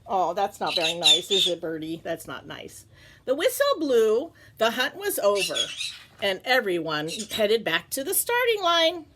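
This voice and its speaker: A woman teacher reading a children's picture book aloud in an animated way, with playful, chatty asides and questions to her pet bird. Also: mid-range voice, a little slow, background noise.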